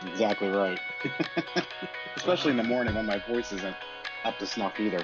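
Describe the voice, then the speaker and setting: A man's voice: fairly low pitch, animated, normal speed, dull audio, over loud music. An American man chatting casually as a podcast host. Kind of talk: casual chat.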